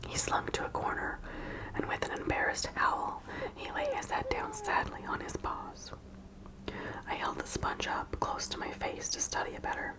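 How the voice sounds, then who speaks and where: A woman narrating a children's story in a breathy ASMR whisper, expressively acting out the dialogue. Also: very high-pitched, normal speed, rough recording. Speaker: ASMR host reading aloud.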